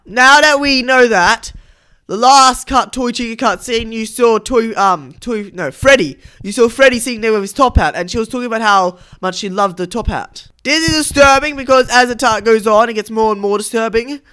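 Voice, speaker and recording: Young adult game YouTuber, casual reaction commentary, sharp bright tone, mid-range pitch. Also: normal speed, clean tone. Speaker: YouTuber reviewing game cutscenes.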